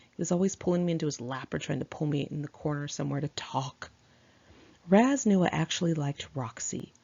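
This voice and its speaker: A woman reading her own memoir aloud in a candid, expressive, conversational storytelling style. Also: sits low, creaky, breathy edge, highs cut off. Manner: candid, expressive, acts out dialogue.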